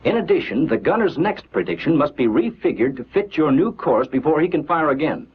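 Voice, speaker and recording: A man narrating a scripted 1940s military training film in a measured, instructional, declarative manner on an old film soundtrack. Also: fairly high pitch, very animated, husky, phone-quality sound.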